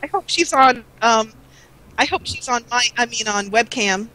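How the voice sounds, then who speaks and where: A woman's voice: a little high, lively intonation, normal speed, bright, forceful tone, low-quality recording. A woman chatting casually as a guest on a livestream. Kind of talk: casual chat.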